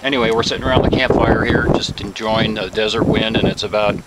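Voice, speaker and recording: A man's voice: on the low side, level tone, fast. A man hosting a camping vlog, chatting with enthusiasm outdoors at a desert campfire. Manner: enthusiastic, laughs easily. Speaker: vlog host and ham radio operator. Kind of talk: casual outdoor chat. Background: loud wind and outdoor noise.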